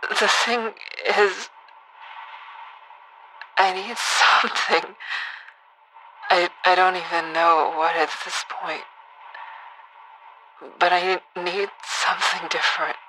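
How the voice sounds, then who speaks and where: Audio drama role: fragile survivor, a bit drunk, halting and strained, on a noisy voicemail. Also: female voice, fairly low pitch, steady tone, pushed and bright. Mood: despairing, searching. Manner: halting, hesitant, with nervous laughs. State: tipsy. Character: troubled, fragile woman, a shooting survivor leaving a voicemail. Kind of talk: naturalistic audio drama acting.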